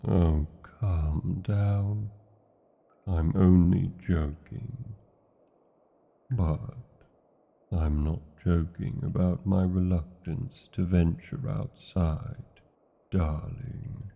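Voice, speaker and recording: A British man playing a tender, brooding dragon lover in a romantic fantasy ASMR roleplay, speaking formally with old-fashioned endearments in a gravelly, husky voice. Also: sits low, fairly even intonation, very slow, exaggerated voice. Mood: gently teasing. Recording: muffled, narrow phone-like sound.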